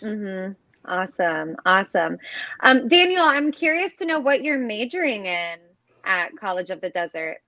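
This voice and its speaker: A woman's voice: medium pitch, very animated, moderate pace, pushed and bright, no echo. A young woman from Ohio hosting a live interview, upbeat and often saying "awesome". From Ohio, USA. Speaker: host. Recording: dull lo-fi sound.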